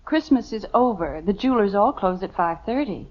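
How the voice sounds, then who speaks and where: An actress playing a patient, knowing middle-aged American wife and mother who scolds gently and dryly in an old-time radio comedy. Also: medium pitch, animated, fairly quick, lightly acted. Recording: muffled, hissy vintage radio recording.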